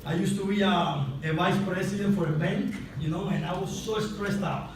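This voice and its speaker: A man, in Spanish, delivers an excited motivational testimonial in a high voice, slightly out of breath. He keeps addressing the crowd from stage, and the sound is distant and very echoey. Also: moderate pace. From Cuba. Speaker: testimonial speaker at a sales convention. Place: large event hall on stage. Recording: echoey hall, distant mic, noisy.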